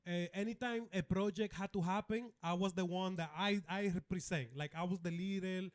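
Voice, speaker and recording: A man's voice: sits high, steady tone, moderate pace. A man from the Dominican Republic with a Dominican accent, a comedian guest chatting brashly and profanely, cracking jokes. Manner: brash, profane, jokey, switches into playful voices. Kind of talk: casual podcast chat.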